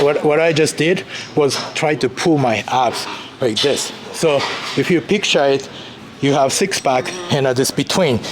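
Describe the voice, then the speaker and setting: A man from Japan with a Japanese accent talks informally as a trainer, haltingly repeating phrases as he explains things. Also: mid-range voice. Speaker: fitness trainer and gym co-owner. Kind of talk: informal exercise demonstration and chat. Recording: busy crowd noise behind.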